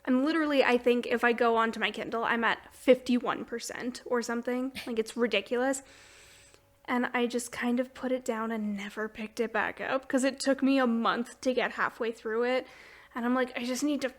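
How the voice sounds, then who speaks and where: A woman's voice: a little high, fairly even intonation, normal speed. A woman hosting a podcast in a casual book chat, talking informally and chattily and laughing readily. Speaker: podcast host.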